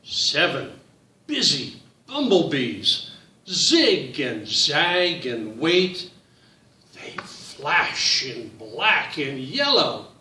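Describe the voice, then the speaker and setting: Slow sing-song man reading to kids. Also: on the high side, very animated, pushed and bright, reverberant, recorded from a distance. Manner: slow, sing-song reading with drawn-out words, addresses children. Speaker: children's book author reading aloud. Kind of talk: read-aloud storytime for children.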